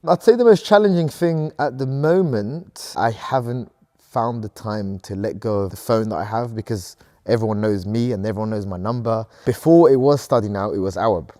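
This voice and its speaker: A man's voice: on the low side, moderate pace, mellow, no echo, studio quality. A man in his thirties from Sudan, a company co-founder answering interview questions in an earnest, upbeat way.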